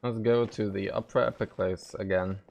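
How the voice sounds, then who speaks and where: A man's voice: lowish voice, steady tone, a little fast, vocal fry, a little mellow, lo-fi sound, slight background noise. A man giving casual playthrough commentary as a gaming streamer, with wry, joking asides.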